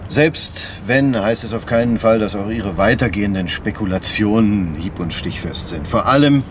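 Man acting a guarded, low-voiced senior prosecutor, noisy outdoors. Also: close to an everyday voice. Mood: sceptical. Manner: measured, formal, wary, dryly challenging. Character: guarded, sceptical male senior public prosecutor. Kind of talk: naturalistic German radio crime drama acting.

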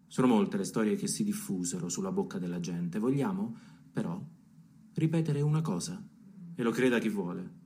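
An actor plays a male storyteller in a radio play, his voice on the low side and soft-edged. He narrates a legend in a measured, confiding way, addressing the listeners directly. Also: a little hiss or hum, lightly acted. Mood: confiding, assertive. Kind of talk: literary radio theatre narration.

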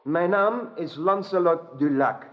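An actor playing a bold, chivalrous knight in a radio drama, speaking in a formal, declamatory way. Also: male voice, a little high, slow pace. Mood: defiant. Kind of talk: theatrical radio drama acting. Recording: muffled radio play recording.

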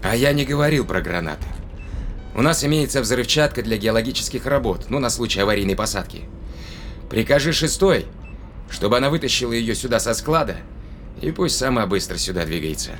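A man's voice: medium pitch, expressive, brisk, fairly bright tone, faint music behind, lightly acted. An actor playing a decisive spaceship crewman who reasons aloud and gives orders in an audio drama. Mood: urgent, commanding. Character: decisive male spaceship crewman planning a counterattack.

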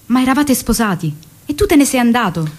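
A woman's voice: a little high, lively intonation, fairly quick. An actress playing a revolutionary's young secretary and lover who recalls their story in an intimate, theatrical way, with music behind her. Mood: probing, hurt. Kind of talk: Italian stage drama acting and memoir narration.

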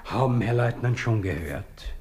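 An actor playing a servile, gossipy coffeehouse waiter with a husky voice and a Viennese accent in a radio drama. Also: male voice, medium pitch, animated, measured pace, darkish tone. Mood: eager gossip. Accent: Austrian, Viennese. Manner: obsequious, chatty, sing-song deference.

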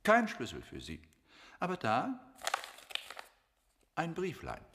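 Sing-song fussy hotel receptionist. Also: male voice, fairly high pitch, huge pitch swings, takes it slowly, full character voice. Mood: polite, regretful. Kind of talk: radio drama acting. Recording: reverberant hall, faint noise.

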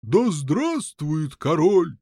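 A man's voice: on the high side, sing-song, drawn-out words, mellow, no room sound, clean studio sound, voice pushed up, exaggerated voice. A man voicing a pompous, indignant old knight who grumbles and blusters in an audiobook story. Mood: solemn.

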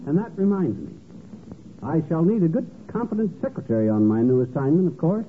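Boastful American husband, highish swinging voice, slangy, old-time radio comedy. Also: male voice, sing-song, a little fast, narrow, tinny audio. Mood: cocky, self-important. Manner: blustering, folksy, slangy. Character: boyish, bragging, folksy husband in radio comedy. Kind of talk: 1940s radio comedy acting. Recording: scratchy, noisy, band-limited old radio recording.

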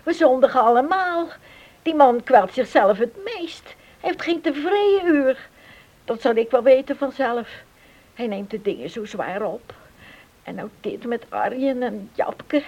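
An actress playing an anxious, pious village woman in a Dutch radio drama, hesitant and stammering, with a husky voice. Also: a little high, expressive, a little slow, darkish tone, dull audio. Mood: worried, earnest. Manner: hesitant, stammering, repeats words.

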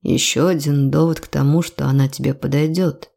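Woman reading as a low, self-assured man. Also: fairly even intonation, normal speed, creaky, dark tone. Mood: teasing. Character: self-assured, quietly commanding male lover in a romance.

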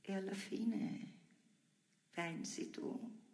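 An actress playing a weary, defiant imprisoned dissident in an intimate theatrical monologue, creaky and breathy, often dropping to a whisper. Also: female voice, medium pitch, steady tone, a little mellow, roomy sound. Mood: brooding, bitter. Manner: brooding, drawn-out phrasing.

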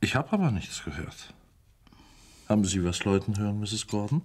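A man's voice: on the low side, lively intonation, moderate pace, natural voice. Actor as wry police inspector: male, unflappable, politely sceptical, varied melody, radio crime play with light background noise. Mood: sceptical, dry. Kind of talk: German radio crime drama acting.

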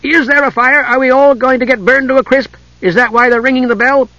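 A male narrator voicing a cheeky, chattering small male kender with a high, excitable voice. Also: normal speed, breathy edge, no room sound, lo-fi sound, exaggerated voice. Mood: alarmed.

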